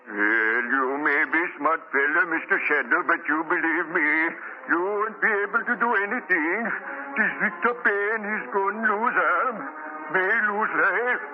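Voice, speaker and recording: A man's voice: high-pitched, measured pace, music in the background. An actor playing an agitated foreign seaman in a 1930s radio drama, speaking broken English with dropped articles. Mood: bitter, agitated. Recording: muffled old 1930s radio recording.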